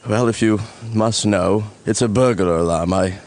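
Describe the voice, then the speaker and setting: A man voicing a fussy, pompous middle-aged gentleman and sore loser in a cartoon, given to flustered stammering. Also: lowish voice, normal speed, natural voice. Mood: peevish. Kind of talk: animated comedy dialogue.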